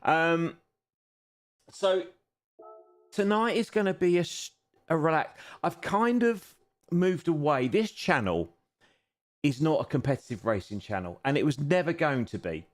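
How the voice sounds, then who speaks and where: A man's voice: fairly high pitch, expressive, unhurried, pauses often. A man in his fifties chatting casually on a live stream while seated at a home racing simulator, self-deprecating and chuckling often. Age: 50s.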